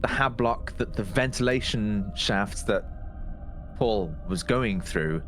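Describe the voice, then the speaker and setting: A man with a fairly deep voice recaps a tabletop roleplaying session in a casual way. He feels for his words, doubling back and trailing off. Some music plays behind him. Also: slow, a bit bright. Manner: hesitant, repeats words and trails off. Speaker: player in a tabletop roleplaying game show. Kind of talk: casual spoken recap of game events.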